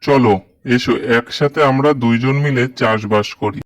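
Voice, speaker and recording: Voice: medium pitch, moderate pace, voice pushed down, lightly acted. A narrator voicing a sly, agreeable jackal in a children's cartoon folktale, easygoing and obliging. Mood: friendly.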